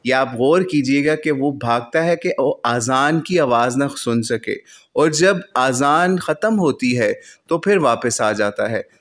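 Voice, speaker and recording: Earnest man lecturing, higher-pitched voice. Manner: earnest and lecturing, citing hadith by number. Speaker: religious commentator. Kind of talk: argumentative religious rebuttal.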